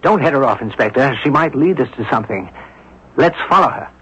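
A man's voice: medium pitch, animated, brisk, very noisy, lightly acted. Man playing genial amateur detective, urbane and nosy, voice swings around a lot, old muffled radio drama. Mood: brisk, intent. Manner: inquisitive, urbane, teasing. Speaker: actor. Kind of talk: radio mystery drama acting. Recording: dull lo-fi old radio recording.

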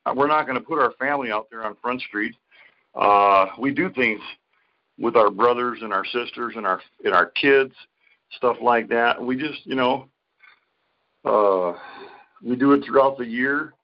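A man co-hosts a casual podcast in a creaky, gravelly voice of middling pitch. He talks crudely and swears heavily. The recording is dull and lo-fi. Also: a little slow. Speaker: podcast co-host. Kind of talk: casual chat. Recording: dull lo-fi audio.

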